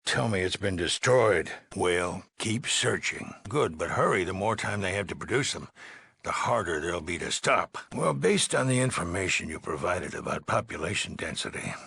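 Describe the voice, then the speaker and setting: An actor playing a worried, authoritative male leader of an underground vault in a video game, with a gravelly, husky voice and a grave, deliberate manner. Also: low voice, animated, bright, forceful tone, no echo, heavily acted. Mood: urgent, pressing. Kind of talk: dramatic game dialogue.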